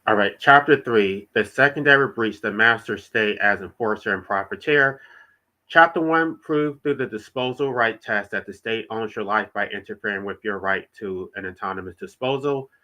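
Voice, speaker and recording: Stuffy-nosed guy on a livestream, nasal and kinda bright, reads a bit then hammers the point home. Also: fairly low pitch, poor audio. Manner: reads long passages aloud, then explains them in plain emphatic terms. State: congested, nasal. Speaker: author and channel host. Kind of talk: solo livestream reading and commenting on his own writing.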